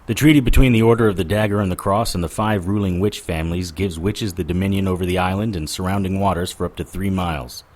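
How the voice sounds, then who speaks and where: A man's voice: low voice, steady tone, quick pace, few pauses, darkish tone. An actor playing a wry, world-weary occult detective who narrates his own story in a noir-style audio drama. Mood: explanatory. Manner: wisecracking, sardonic. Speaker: lead actor and first-person narrator.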